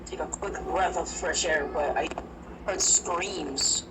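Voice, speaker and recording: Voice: mid-range voice, takes it slowly. A narrator telling a first-person horror story, hesitant and prone to restarts. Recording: noisy recording.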